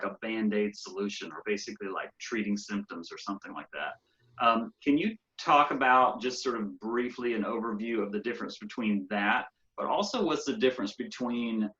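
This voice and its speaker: A man's voice: mid-range voice, fairly bright tone, lo-fi sound. A man from South Alabama with a Southern US accent, hosting a casual podcast interview in an informal, folksy manner. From South Alabama, United States.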